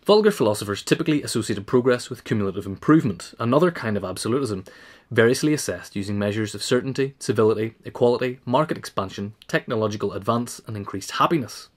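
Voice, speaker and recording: Brisk man narrating an essay. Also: lowish voice, expressive. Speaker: audiobook reader.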